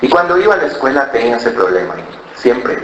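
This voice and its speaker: A man's voice: mid-range voice, sing-song, fast talker, a bit bright, a little hiss or hum. Man, pastor, Cali Colombian accent, storytelling sermon, roomy muffled church sound, faint ambience. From born in Ecuador, raised in Colombia. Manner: storytelling, mimics other voices, frequent "¿verdad?".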